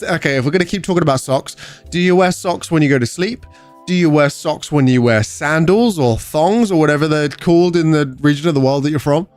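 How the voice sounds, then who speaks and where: A young man from England with an English accent, hosting a gaming livestream and chatting casually with viewers as he reads their messages. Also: fairly high pitch. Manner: chatty, reads chat aloud and answers viewers. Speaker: livestream host.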